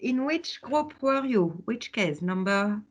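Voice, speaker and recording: A woman with a French accent teaches students through a video call. She speaks at an unhurried pace in a slightly raised voice, pausing on fillers and laughing often. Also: fairly high pitch, animated. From France. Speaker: workshop teacher. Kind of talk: online workshop teaching.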